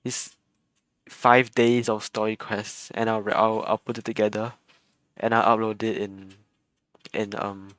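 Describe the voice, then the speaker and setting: A man's voice: lowish voice, flat delivery, a little slow, long pauses, a bit bright, no room sound. A young man chatting casually and laughing often while streaming a game. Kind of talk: casual live game commentary.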